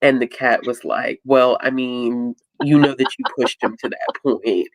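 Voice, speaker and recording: A woman co-hosting a casual book chat, telling things in an animated, emphatic way. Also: a bass voice, unhurried, no echo, poor audio. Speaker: livestream co-host.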